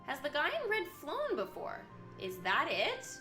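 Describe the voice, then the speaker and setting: Bright-voiced woman streamer in a roomy space. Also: high voice, wildly swooping pitch, a little slow. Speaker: game streamer. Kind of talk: livestream commentary mixed with reading story text aloud. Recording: roomy echo, game music behind. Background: video game music.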